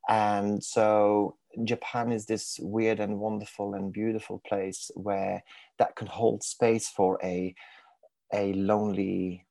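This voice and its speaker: A man's voice: fairly low pitch, level tone, takes it slowly, vocal fry, warm tone, no room sound. A man in his forties from Belgium with a Belgian accent touched by Manchester, speaking reflectively as a mindfulness coach on a podcast. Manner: thoughtful, searching for words, self-deprecating humour.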